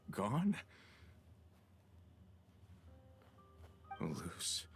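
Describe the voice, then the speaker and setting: A man's voice: deep voice, exaggerated voice. An actor playing an earnest male gang member fresh out of prison in a video game. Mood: shocked, grieving. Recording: game music under the voice.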